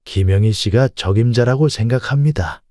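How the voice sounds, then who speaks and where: A man's voice: medium pitch, moderate pace, a little mellow, dry recording, studio quality, natural voice. A man playing a firm, principled company president, speaking formally and with authority in audiobook story dialogue. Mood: encouraging.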